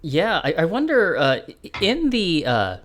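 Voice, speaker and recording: A man co-hosting a game development stream, talking through ideas in a rambling, halting way on a video call from a home room. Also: a little high, wildly swooping pitch, fairly bright tone. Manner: rambling, halting restarts, laughs as he talks. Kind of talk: casual chat during a dev stream.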